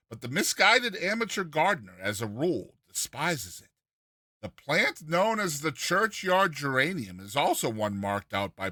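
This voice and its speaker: A man's voice: on the high side, bright, forceful tone, no echo, clean studio sound. A man reading a classic humorous story aloud as a dramatized narration, with a theatrical, mock-earnest delivery. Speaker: reader and narrator of a short story.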